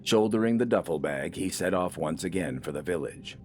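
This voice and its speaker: Male narrator, very low register, measured dramatic storytelling, light music underneath. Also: lively intonation, darkish tone. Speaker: audiobook narrator.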